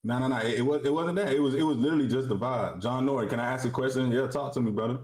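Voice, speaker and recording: A man's voice: medium pitch, fast talker, darkish tone, rough recording. A man from South Florida chatting casually on a livestream, slangy, swearing and teasing. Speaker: trading stream co-host.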